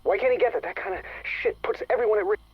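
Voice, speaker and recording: An actor playing a weary, bitter, guilt-ridden lab staffer in a game, muttering a sardonic confession in a gravelly, husky voice. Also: male voice, high-pitched, fast, dry recording. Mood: frustrated, worried. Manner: sardonic, foul-mouthed, muttering. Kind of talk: dramatic game voice acting, confessional audio log. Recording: muffled lo-fi radio-like audio log.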